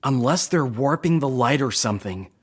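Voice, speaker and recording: A man's voice: medium pitch, expressive, normal speed, close to an everyday voice. A man playing a wary, suspicious spaceship crew member in a sci-fi audio drama.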